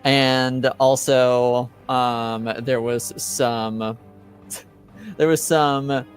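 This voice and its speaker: A man hosting a tabletop game as game master, recapping casually and often searching for words. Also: mid-range voice.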